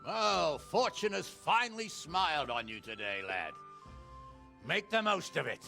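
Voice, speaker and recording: Hearty commanding nobleman, forceful gravelly voice, slow and weighty, game drama. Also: fairly high pitch, big pitch swings, soft background music, full character voice. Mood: gruffly genial. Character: blustery middle-aged nobleman lord, hearty and commanding. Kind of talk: video game drama acting.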